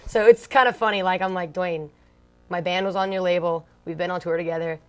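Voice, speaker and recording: A young woman from Long Beach, California, talks through her musical past in a relaxed interview. Her speech is loose and chatty, packed with fillers and slang. She is blunt and drops the odd curse without a second thought. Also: on the low side, brisk. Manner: blunt, slangy, swears casually. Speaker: punk band singer, interview guest. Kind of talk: casual storytelling interview.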